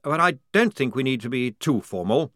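A man's voice: medium pitch, very animated, moderate pace, a bit bright, no echo, clean studio sound, voice pushed up. A man voicing a pale, fussy, polite young male officer with formal manners.

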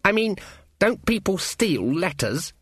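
A man's voice: sits high, big pitch swings, a little slow, fairly bright tone, husky, no echo, studio quality, voice pushed up, heavily acted. A man voicing a young, nervous, fussy academic wizard with a querulous manner in a storybook. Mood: worried.

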